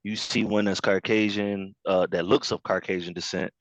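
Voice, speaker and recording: A man in casual group discussion over an online call, talking in animated bursts with many fillers and laughs. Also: low voice, normal speed, no room sound, low-quality recording.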